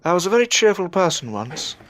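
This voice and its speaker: A man acting a grieving, ashamed Victorian widower in an audio drama, speaking in a melancholy, halting way with sighs. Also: high voice, very animated, fairly bright tone. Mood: mournful. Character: grieving, ashamed Victorian widower in his twenties or thirties, self-reproaching. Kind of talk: audio drama acting with first-person narration.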